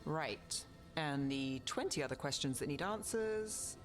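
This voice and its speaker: Dry, skeptical male investigator, higher-pitched. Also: animated, unhurried, soft background music. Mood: wry, thoughtful. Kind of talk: video game cutscene acting.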